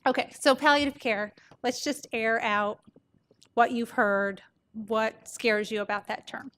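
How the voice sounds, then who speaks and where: A soft-voiced woman doctor gives an informal medical presentation to an audience, explaining things conversationally. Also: a little high, fairly even intonation, a little slow. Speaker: palliative care doctor presenting.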